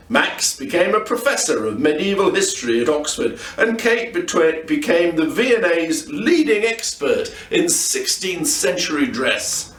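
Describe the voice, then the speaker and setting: Male storyteller, animated and fairly high in pitch, expressive children's narration, recorded roomy and distant. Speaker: storyteller reading aloud. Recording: roomy echo, distant microphone.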